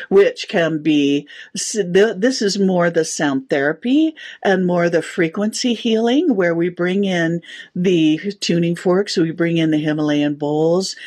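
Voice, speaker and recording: A woman's voice: on the low side, darkish tone, husky. A middle-aged woman, a sound therapist, explaining her work in an interview with enthusiasm and easy laughter. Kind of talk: interview answers.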